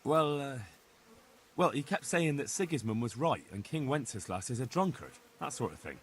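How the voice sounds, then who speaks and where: An actor playing a polite, hesitant young blacksmith's son in a video game. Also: male voice, mid-range voice, sing-song, normal speed, slight background noise, natural voice. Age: young adult.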